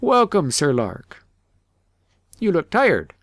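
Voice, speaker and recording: A man voicing a regal, gently rebuking sun king in storybook verse. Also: on the high side, huge pitch swings, slow, dry recording, clean studio sound, pitched above the performer's own voice, exaggerated voice. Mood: gently mocking.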